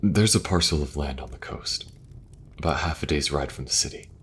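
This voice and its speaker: Very deep-voiced man playing a gentle king. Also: brisk, slight background noise. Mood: warm. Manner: gentle, soft-spoken, faltering at awkward moments. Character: earnest young adult king and brother. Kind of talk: audio roleplay acting.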